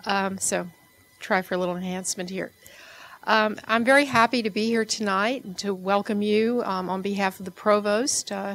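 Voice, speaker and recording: A soft-spoken woman in her fifties addresses a banquet hall through a podium microphone. Her voice has a gravelly fry at mid pitch, and her pace is measured with the odd filler. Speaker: associate provost. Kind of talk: speech at an award event.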